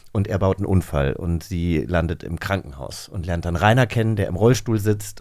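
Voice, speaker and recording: A man in his early forties, a podcast host and actor, telling stories in a calm, reflective way with frequent "äh" fillers. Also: lowish voice, no echo. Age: early 40s. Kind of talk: casual conversation.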